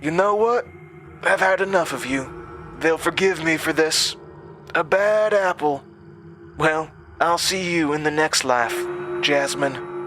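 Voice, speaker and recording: A man's voice: a little high, slow pace, over music, voice pushed up. A man playing a cruel, crazed camp director in a horror audio drama, taunting with sinister relish. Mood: cold fury. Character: cruel, crazed male camp director, coldly menacing and gleeful.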